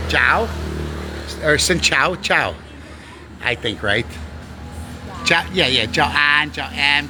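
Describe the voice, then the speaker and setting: Chatty man, noisy street outdoors. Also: a little high. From Canada. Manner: chatty, repeats words, broken grammar. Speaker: vlogger. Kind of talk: casual rambling vlog.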